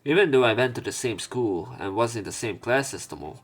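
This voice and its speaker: A man's voice: medium pitch, expressive, fairly quick. A man doing let's play commentary, reading game text aloud and adding informal, rambling, hesitant remarks. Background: soft game music.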